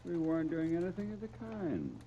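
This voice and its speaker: An American actor playing an evasive, fond middle-aged uncle in a 1930s radio serial, on a muffled, noisy old recording. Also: male voice, fairly high pitch, huge pitch swings, brisk, mellow. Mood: blustery, indignant.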